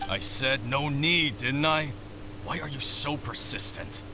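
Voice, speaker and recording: An actor playing a curt, suspicious, threatening bandit boss in a video game, speaking deliberately. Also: male voice, mid-range voice, lightly acted. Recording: game capture with clear background noise.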